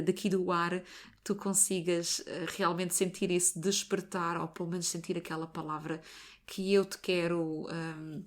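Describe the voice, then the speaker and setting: Middle-aged woman therapist hosting her own podcast; lower-pitched, chatty and expressive, restarts often. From France. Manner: chatty, self-correcting, expressive with frequent restarts. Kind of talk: personal monologue podcast.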